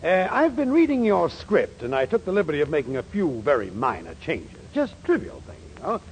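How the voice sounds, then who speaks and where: A fussy, meddling middle-aged American boss with a high voice that swoops wildly. He hems apologetically in vintage radio sitcom style, on a slightly noisy old recording. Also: huge pitch swings, brisk. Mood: fussily apologetic. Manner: hemming, falsely modest. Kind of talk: 1940s radio comedy acting.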